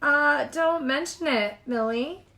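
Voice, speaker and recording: A woman's voice: high-pitched, takes it slowly, pushed and bright, voice pushed up, full character voice. A woman voicing an anxious little girl in storybook dialogue. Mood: polite, hesitant. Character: anxious little girl who fears making mistakes. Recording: echoey room.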